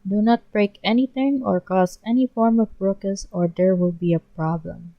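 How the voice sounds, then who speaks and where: A woman's voice: medium pitch, steady tone, moderate pace, dry recording, natural voice. A woman voicing a cranky, weary small teenage boy who snaps irritably, in a muffled storybook reading. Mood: stern warning. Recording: muffled home recording.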